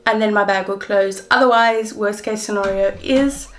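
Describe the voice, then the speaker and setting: A woman's voice: mid-range voice, fairly even intonation, moderate pace. A woman in her twenties with a South African accent, talking candidly and chattily to camera in a personal travel vlog. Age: 20s. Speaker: travel vlogger.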